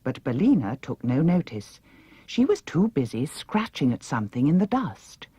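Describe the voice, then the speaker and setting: Female audiobook narrator, British, animated delivery, slightly husky, for children's fiction. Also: low-pitched, moderate pace, dry recording, lo-fi sound. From United Kingdom. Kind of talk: expressive scripted children's story narration.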